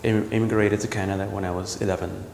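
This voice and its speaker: Low gravelly man in an echoey gallery. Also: flat delivery, a little mellow, a little hiss or hum. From El Salvador. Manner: reflective, halting, with frequent ums and restarts. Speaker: visual artist. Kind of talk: talking about his artwork. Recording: roomy gallery echo with long reverb.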